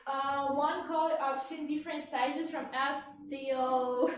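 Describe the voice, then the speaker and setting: A woman in her twenties from Ukraine with a Ukrainian accent, presenting products on a casual live stream in a chatty, halting, repetitive way. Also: high voice, level tone, distant mic. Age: 20s. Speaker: live shopping host. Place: small indoor studio with clothes rack. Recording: echoey room from afar, faint noise.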